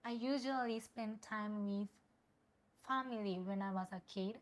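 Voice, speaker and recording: A woman's voice: mid-range voice, measured pace. Hesitant young Japanese woman. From Osaka, Japan. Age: 20s. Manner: hesitant, searching for words, many fillers. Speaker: guest. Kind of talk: casual interview chat.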